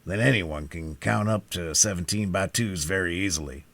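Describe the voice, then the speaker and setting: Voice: low-pitched, moderate pace, fairly bright tone. A reader voicing a stolid wooden sawhorse in a storybook, speaking abruptly and matter-of-factly. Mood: blunt. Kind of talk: audiobook character reading.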